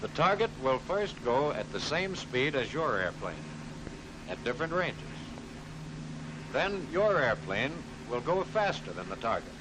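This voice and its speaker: Lively American man narrating 1940s training film. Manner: instructive, addressing the trainee directly. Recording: hissy 1940s film soundtrack, rumbling noise.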